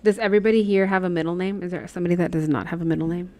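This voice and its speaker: A woman's voice: fairly low pitch, steady tone, rapid-fire, a little mellow, dry recording. A Mexican American woman whose first language is Spanish, chatting casually with her live chat while she stitches and laughing often. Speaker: cross-stitch livestream host.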